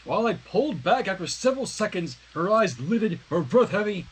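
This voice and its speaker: Highly animated, husky man reading fanfiction. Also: normal speed. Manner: theatrical, animated. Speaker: fanfiction reader on a livestream. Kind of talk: dramatic narration with joking asides.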